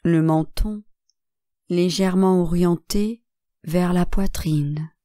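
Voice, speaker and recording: A woman guiding a relaxation meditation as a yoga nidra instructor, calm and measured, speaking in short phrases with long pauses. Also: on the low side, no room sound, studio quality.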